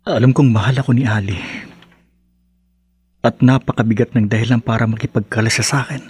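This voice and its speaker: An actor playing a determined, lovesick young boyfriend in a radio horror drama monologue, intense and deliberate. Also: male voice, mid-range voice, fairly even intonation, darkish tone, husky. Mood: resolute, stealthy.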